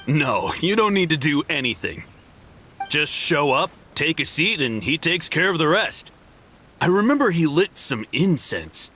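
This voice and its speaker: A bright, sharp male voice with wide pitch swings, a snobbish game client who takes offense at the smallest remark. Soft game music hums behind him. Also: sing-song, unhurried, a little hiss or hum, exaggerated voice. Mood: haughty. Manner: haughty, easily offended. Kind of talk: game voice acting.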